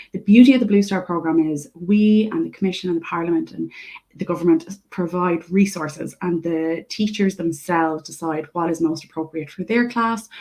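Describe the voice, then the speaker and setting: A woman's voice: lowish voice, darkish tone. A young woman speaking as a guest in an interview, earnest and fluent, often saying "like" and "you know". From Ireland. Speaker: guest, communications head. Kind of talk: conversational interview.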